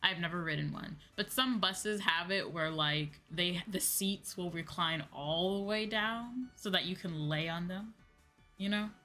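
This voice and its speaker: A woman's voice: lowish voice, a little slow, bright, forceful tone. An American woman chatting casually on a livestream in an informal, slangy, rambling way. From United States. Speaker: VTuber streamer, host. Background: background music.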